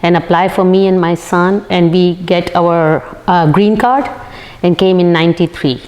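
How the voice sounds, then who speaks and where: A woman in her fifties with a Pakistani accent, answering interview questions in halting, non-native English. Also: lowish voice, fairly even intonation, darkish tone. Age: 50s. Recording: reverberant hall-like room.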